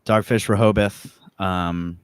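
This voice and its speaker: A man in a relaxed interview as the visiting band frontman. He talks informally and sounds keen on what he is describing. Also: low voice, takes it slowly, smooth, no room sound, clean studio sound. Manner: informal, enthusiastic wording. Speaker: band frontman, musical guest. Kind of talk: casual interview chat.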